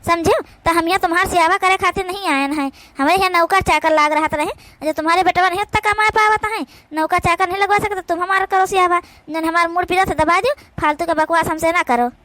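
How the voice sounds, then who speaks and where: A woman's voice: extremely high pitch, a little fast, hardly any pauses, pushed and bright. A woman voicing a haughty, lazy young village daughter-in-law in a comedy cartoon, bossy and scolding with an Awadhi accent. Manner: imperious, scolding.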